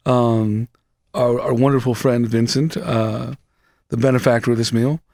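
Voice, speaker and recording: A man's voice: medium pitch, steady tone, unhurried, darkish tone, no room sound. A man, chatting loosely on a podcast into a close studio mic, profane and full of fillers. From New York City. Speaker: podcast co-host. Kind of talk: casual chat. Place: small studio room, indoors.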